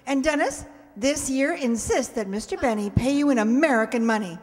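A woman's voice: sits high, expressive, normal speed. An older woman playing a bossy, exasperated, sardonic mother in an old-time radio comedy. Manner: scolding, sardonic. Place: amateur stage reading in a hall. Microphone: stand microphone. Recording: echoey stage in a large hall.

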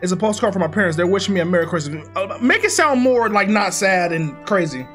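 A man's voice: high voice, expressive, fast talker, fairly bright tone, soft background music. A young American man with a slight speech impediment, casually rambling and teasing himself as he hosts a game playthrough. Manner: playful, rambling, self-teasing. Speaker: gaming video host. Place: indoor room with green screen.

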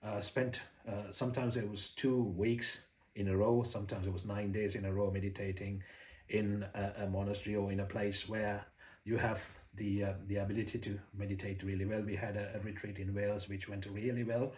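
A man in his forties with a Sri Lankan accent, a native Sinhala speaker, explaining Buddhist ideas to the camera in a halting, filler-heavy way while gesturing with his hands. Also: on the low side, flat delivery, darkish tone. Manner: hesitant, many uh fillers and restarts. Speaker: former Buddhist preacher. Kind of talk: casual talk to camera. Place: indoor room with a home shrine.

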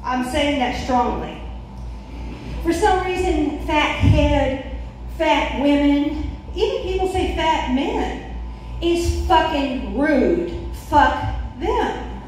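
A very reverberant, slightly noisy club recording of a woman performing stand-up. She is middle-aged and has a high voice. Her delivery is opinionated and bluntly foul-mouthed, spoken into a handheld stage mic. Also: animated, very slow, a little mellow. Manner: brash, profane, opinionated. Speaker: stand-up comedian. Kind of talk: stand-up comedy routine. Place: small comedy club stage, indoors. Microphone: handheld stage mic, recorded from the audience. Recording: very echoey room, distant mic, faint noise.

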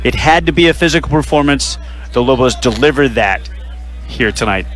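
A man hosting a radio postgame broadcast, running through final stats and sponsor reads in a measured way. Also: medium pitch, expressive, a bit bright, faint music behind. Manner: stat-heavy, measured delivery with sponsor reads. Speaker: radio play-by-play announcer.

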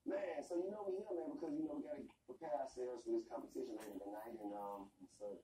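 A man's voice: medium pitch, quick pace, warm tone. A man hosting a rap competition show, narrating and chatting with a guest in an easy, earnest way. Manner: earnest, laid-back slang. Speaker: TV show host. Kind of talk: scripted TV narration and chat. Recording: TV audio in an echoey, noisy room.

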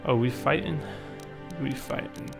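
A man's voice: medium pitch, unhurried, creaky. Man playing a game over music. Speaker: gamer playing and commenting. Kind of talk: gameplay commentary, reading dialogue text aloud.

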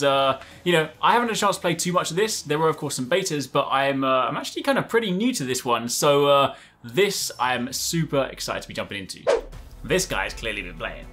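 A man in his thirties giving casual, chatty, excitable gameplay commentary, often repeating short phrases. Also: fairly high pitch, quick pace. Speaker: gaming video host. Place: indoors at a desk, gaming setup.

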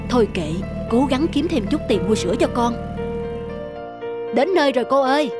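A woman's voice: sits high, animated, moderate pace, over loud music. A woman voicing a stubborn, money-worried young male motorbike taxi driver, curt and insistent, in a cartoon drama. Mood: businesslike.

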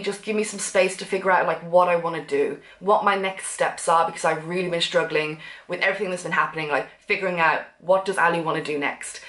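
A woman talks to camera at a rapid clip, her voice a bit blocked up from a cough. She chats casually and animatedly from a sofa. The room around her rings with echo. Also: mid-range voice. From England. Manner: chatty, animated, gestures while talking. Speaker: vlogger. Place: sunroom with sofa, indoors.

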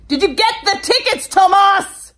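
Shrill, imperious eighties businesswoman. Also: lively intonation, unhurried, full character voice. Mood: theatrical outrage. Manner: haughty, dramatic, loud bursts. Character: imperious, theatrical 1980s businesswoman, musical fanatic. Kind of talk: comedy character sketch. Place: indoors in a wood-paneled den.

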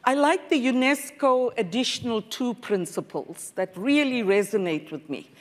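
Woman, 60s, earnest panelist, higher voice, long hall reverb. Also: lively intonation, moderate pace. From South Africa. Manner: earnest, speaks with conviction. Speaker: panelist, professor. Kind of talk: conference panel answer. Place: large conference hall stage.

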